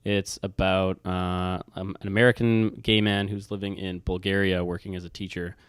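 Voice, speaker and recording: A man's voice: low-pitched, flat delivery, moderate pace, smooth, no room sound, clean studio sound. A young man chatting casually and unscripted as a book vlogger, rambling informally with some hesitation.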